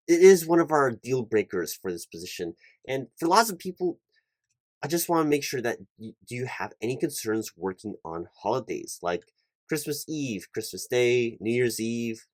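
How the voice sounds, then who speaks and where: Corporate recruiter, played by a man, lowish voice, over-careful, repetitive and a bit flustered, very dry recording, ASMR interview roleplay. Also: darkish tone, no echo, close to an everyday voice. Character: polite, fussy, slightly flustered male corporate hiring manager. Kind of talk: one-sided ASMR job interview roleplay.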